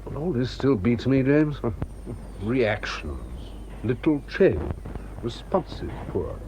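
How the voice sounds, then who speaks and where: An actor playing a skeptical, businesslike military officer in a radio drama, with a clipped, musing delivery. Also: male voice, medium pitch, very animated, slow pace, a little mellow, slight background noise, low-quality recording. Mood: businesslike, puzzled.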